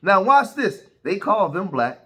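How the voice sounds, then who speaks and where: A man's voice: high voice, sing-song, measured pace, sharp tone. An American man giving emphatic reaction commentary, often asking "right?" and repeating himself. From United States.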